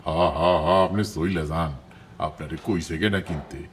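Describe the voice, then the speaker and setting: A man's voice: low-pitched, moderate pace, a little hiss or hum, lightly acted. A man playing a sly, rude goat thief in a cartoon, brusque and gloating, with a colloquial Bangladeshi dialect. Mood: brusque, dismissive. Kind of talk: cartoon voice acting.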